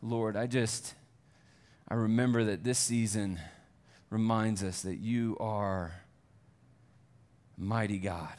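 A pastor preaching a Sunday sermon in a church, conversational and self-deprecating, building to earnest fervor. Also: male voice, on the low side, steady tone, slow pace, long pauses, a little mellow.

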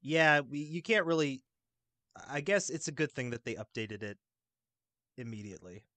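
A man's voice: mid-range voice, normal speed, pushed and bright, dry recording. A man chatting as a podcast guest, explaining things in a loose, hesitant way that often trails off. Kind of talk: casual podcast chat.

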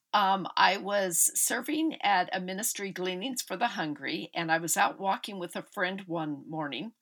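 A woman over fifty-five hosts a podcast. Her voice is gushing and warm and fairly low. She uses frequent fillers. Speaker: podcast host. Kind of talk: podcast interview.

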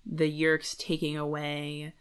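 A woman with a voice a little on the low side co-hosts a casual book podcast. She talks conversationally and lays out her arguments one step at a time. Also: completely flat delivery, takes it slowly, clear, resonant tone. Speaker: podcast co-host.

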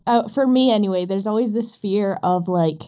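Woman, podcast host, warm dark tone, casual storytelling, dull audio. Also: mid-range voice, moderate pace. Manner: storytelling, acts out quotes.

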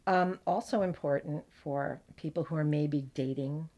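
Gravelly-voiced chatty American woman. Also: low-pitched, moderate pace. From United States. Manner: chatty, animated storyteller, laughs often. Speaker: podcast host. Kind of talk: casual chat.

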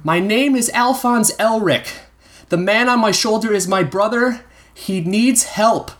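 A man's voice: sits high, a little slow, fairly bright tone, pitched above the performer's own voice. A man voicing a young man in armor who pleads and cries out in strain. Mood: pleading.

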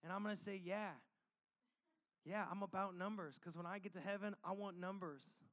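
Young guy pastor w a cold, kinda sniffly, high voice, super casual excited sermon. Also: moderate pace. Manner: energetic, casual and chatty, with filler words. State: sniffly, slightly losing his voice from a cold.

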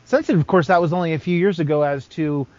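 A man's voice: on the high side, fast, darkish tone, no room sound. A man in a casual podcast discussion who stammers at the start of his sentences. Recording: dull lo-fi recording.

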